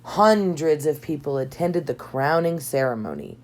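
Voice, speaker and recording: A woman reading a children's storybook aloud in an expressive storytelling style, with measured, dramatic delivery. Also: sits low. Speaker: storybook reader. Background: background music.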